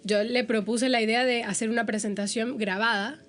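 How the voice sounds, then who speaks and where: A woman's voice: fairly high pitch, steady tone, moderate pace, fairly bright tone. Venezuelan woman chatting as podcast guest. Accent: Venezuelan Spanish. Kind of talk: casual interview chat.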